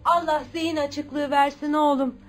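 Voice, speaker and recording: Woman acting a high-voiced, gentle, caring mother. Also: fairly even intonation, moderate pace, faint music behind, natural voice. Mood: gentle, concerned. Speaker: radio drama actor. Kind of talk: Turkish radio theatre acting.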